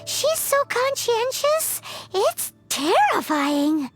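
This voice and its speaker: A tiny fairy companion from a video game, with a very high, childlike squeak. She swings her pitch in a chirpy, exclamatory way and is always nosy, with loud music playing underneath. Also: very animated, drawn-out words, full character voice. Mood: curious, uneasy. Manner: speaks of herself in third person, exclamatory. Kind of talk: video game character acting.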